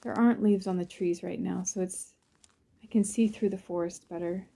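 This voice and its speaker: A woman narrates a guided visualization in a soothing, unhurried way, in short phrases with pauses between them. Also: fairly low pitch, fairly even intonation, vocal fry, mellow. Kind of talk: guided visualization, first-person present-tense narration.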